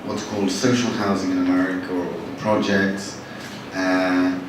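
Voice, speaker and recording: A man, a novelist giving a live talk to an audience in a wry, chatty, halting way, with frequent restarts. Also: deep voice, little pitch movement. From born in Bangladesh, raised in London. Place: large hall with audience. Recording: echoey hall from far off, noisy.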